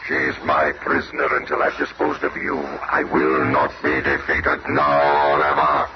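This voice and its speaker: Pompous, menacing masked supervillain, deep voice. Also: male voice, level tone, a little slow, over a noisy crowd. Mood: threatening. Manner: grandiose, menacing declamation. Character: pompous megalomaniac male supervillain in iron mask. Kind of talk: 1970s superhero radio drama acting. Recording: noisy vintage radio broadcast recording.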